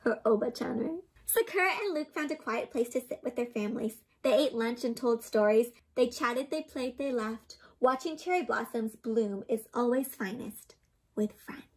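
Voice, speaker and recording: A young woman doing an interactive storybook read-aloud for children, expressive and gently teacherly, often putting questions to her listeners. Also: on the high side, normal speed, breathy edge. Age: young adult. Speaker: children's storybook reader and host. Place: small indoor room with books and plants.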